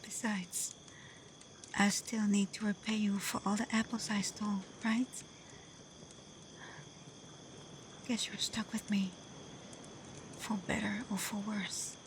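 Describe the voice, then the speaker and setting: A woman playing a gentle, devoted kitsune in love in an intimate ASMR roleplay, speaking tenderly and hesitantly, often dropping to a breathy whisper. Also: mid-range voice, flat delivery, measured pace, background noise, lightly acted. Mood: affectionate, shy. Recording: faint cricket and night insect ambience.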